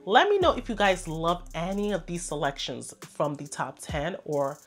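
A woman's voice: lowish voice, big pitch swings, normal speed. Chatty woman with a head cold. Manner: chatty, enthusiastic, addresses viewers as "you guys". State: congested and a little hoarse from a cold. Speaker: fragrance reviewer. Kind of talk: casual product review to camera.

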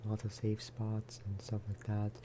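A man speaking softly in a low-key, rambling ASMR style as he chats over gameplay. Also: monotone, a little slow, slight background noise. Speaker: gaming video creator. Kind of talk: soft-spoken ASMR gameplay chat.

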